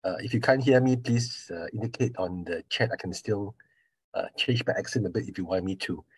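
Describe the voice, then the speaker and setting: A middle-aged man with a Singaporean accent talks through a session over a video call. His voice sits a little low and sounds husky. He speaks in a measured, explanatory way, pausing often, and the sound is dry and close. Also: no room sound, low-quality recording. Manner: measured, explanatory, many "uh" pauses. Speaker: professor of surgery presenting. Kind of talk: online information session talk.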